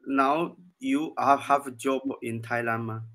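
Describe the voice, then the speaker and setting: A man's voice: mid-range voice, steady tone, measured pace, fairly bright tone. A man in his thirties with a Chinese accent, practising conversation in hesitant, broken English and repeating his words. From China. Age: 30s. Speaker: English learner. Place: online video lesson call.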